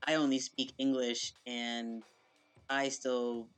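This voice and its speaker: A podcast host asking measured, thoughtful interview questions. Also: medium pitch, little pitch movement, clear, resonant tone, soft background music.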